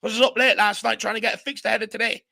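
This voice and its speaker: Hoarse Irishman calling a match excitedly. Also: high-pitched, racing. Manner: animated, urging players on. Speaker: fan channel host. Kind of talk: excitable live match commentary. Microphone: home desk mic.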